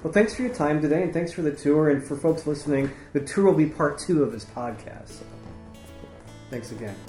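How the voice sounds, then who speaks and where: A man with a soft voice interviewing a guest, mostly quiet affirmations and short remarks. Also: fairly high pitch, brisk, faint music behind. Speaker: podcast host and interviewer. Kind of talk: casual interview talk. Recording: echoey room with faint ambience.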